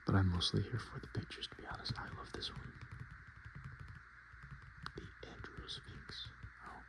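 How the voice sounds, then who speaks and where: A man speaking softly and in whispers, chatting casually as an ASMR host with an unhurried, self-deprecating manner. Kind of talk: soft-spoken and whispered ASMR, casual chat.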